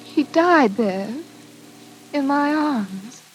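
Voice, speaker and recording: A woman's voice: high voice, animated, extremely slow, soft background music, exaggerated voice. A woman playing the anxious, tender companion of a deranged actor, earnest and melodramatic in a 1940s radio thriller. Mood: grave, sorrowful. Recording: hissy vintage 1940s radio recording.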